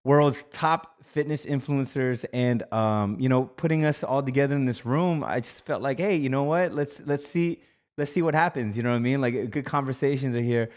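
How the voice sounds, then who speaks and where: A man's voice: mid-range voice, normal speed, a little mellow. A man co-hosting a casual podcast, talking in a loose, rambling way with many fillers. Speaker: podcast co-host.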